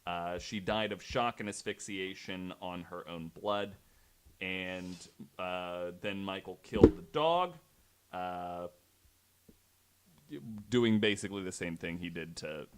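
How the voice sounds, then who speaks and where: A man with a fairly low voice tells a story as a podcast panelist. His delivery is casual and conversational, with hesitant, halting phrasing as he works from notes. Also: a bit bright, slight background noise.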